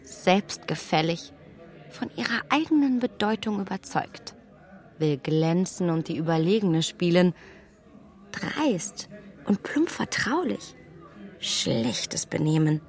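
A woman acting in a radio play as a self-assured, witty young gentlewoman, composed and dryly critical. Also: on the low side, expressive, slow. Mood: disdainful.

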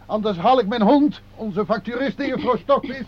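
An actor playing a stubborn, literal-minded packer out hunting, obstinate and matter-of-fact, in a Dutch radio play. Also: male voice, extremely high pitch, normal speed, slight background noise. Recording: dull old radio recording.

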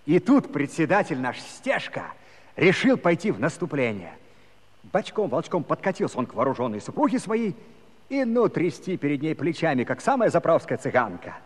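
Wry rustic narrator, slightly high lively voice, comic folksy telling, with hall reverb. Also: male voice, moderate pace, breathy edge. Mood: amused, sly. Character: wry, folksy village storyteller, male. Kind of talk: comic scripted narration in dialect-flavoured prose.

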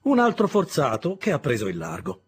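A man voicing a gentle, plain-spoken workman explaining news to a boy. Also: fairly high pitch, wildly swooping pitch, brisk, breathy edge, pitched above the performer's own voice, exaggerated voice.

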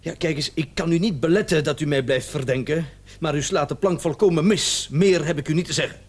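Man playing a smug, high-voiced doctor under suspicion. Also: brisk, natural voice. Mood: defiant. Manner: sardonic, theatrical, sometimes stammering in feigned indignation. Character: smug, mocking male doctor suspected of murder. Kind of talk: radio play acting.

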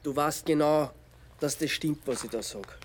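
An actor playing an earnest, insistent amateur detective in a radio play. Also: male voice, on the high side, normal speed, a little hiss or hum, natural voice. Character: amateur sleuth man, dogged and earnest.

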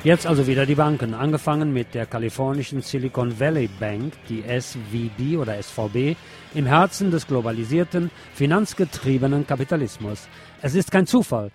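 A man's voice: mid-range voice, a little mellow. A man reads scripted political commentary as a radio presenter. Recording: music playing behind the voice. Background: music.

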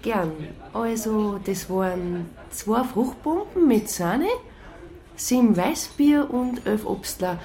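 A woman's voice: medium pitch, expressive, takes it slowly, mellow. A woman playing a polite waitress in an ice-cream parlour in a radio drama.

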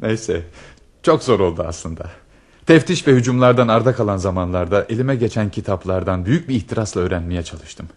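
An actor playing an earnest, lovestruck married army lieutenant in a Turkish radio play, confiding and dramatic. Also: male voice, fairly low pitch, animated, fairly quick, darkish tone. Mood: passionate, confiding. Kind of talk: Turkish radio theatre acting.

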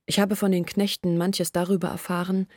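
Woman voicing earnest young village woman, low-pitched, confessional, dry studio sound. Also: flat delivery, a little fast, a little mellow, no room sound, close to an everyday voice. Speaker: lead character in radio play. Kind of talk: radio drama acting.

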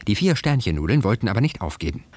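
A man reading a children's story aloud in an expressive, scripted storytelling style. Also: on the low side, fast talker, no room sound. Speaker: story reader.

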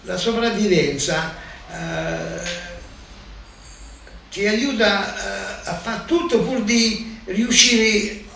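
Uomo anziano lontano, molto riverberato. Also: male voice, high-pitched, very slow, gravelly, pushed and bright. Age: 80s. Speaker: survivor giving testimony. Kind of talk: spoken reminiscence. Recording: distant, very echoey, faint ambient noise.

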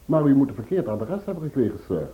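A man playing a courteous concierge, lively intonation. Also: mid-range voice, fast talker, natural voice. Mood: polite, certain. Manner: courteous, matter-of-fact. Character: polite, firm apartment-building concierge, male. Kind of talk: Dutch radio play acting. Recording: dull old radio recording.

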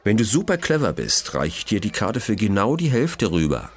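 A man's voice: fairly low pitch, sing-song, normal speed. A man voicing a shady, cocky teenage card forger with growling, slangy hustler talk in a children's audio mystery. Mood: cocky, sly.

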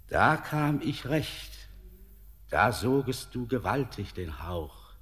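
Classic radio drama: ominous ghostly old man, deepish voice, very drawn-out poetic delivery, cavernous reverb. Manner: solemn, declamatory, drawn-out phrasing. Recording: long hall-like reverb.

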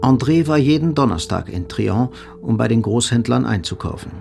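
A man narrates a radio drama in a literary, scripted style. His mid-pitched voice has a noticeable gravelly creak. Faint music runs underneath. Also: animated, moderate pace, a little mellow.

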